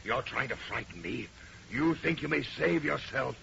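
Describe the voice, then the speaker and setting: An actor playing a murderous husband cracking with fear, theatrical, in an old radio horror play. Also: male voice, mid-range voice, animated, normal speed, vocal fry, exaggerated voice. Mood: gloating menace. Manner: theatrical, swinging from muttered dread to shouting. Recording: noisy, dull old radio recording.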